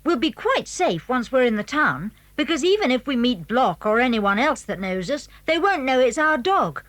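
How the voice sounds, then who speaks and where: A woman's voice: sits high, big pitch swings, normal speed, fairly bright tone, dry recording. A woman playing an eager, confident English schoolboy in a children's audio drama. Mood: confident, brisk.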